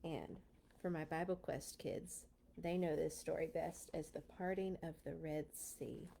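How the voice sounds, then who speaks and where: A woman reading stories aloud to children in an expressive, playful way, with casual asides. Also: sits low, unhurried, gravelly, a little hiss or hum. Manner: playful, animated in telling. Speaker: children's storyteller at a church read-along.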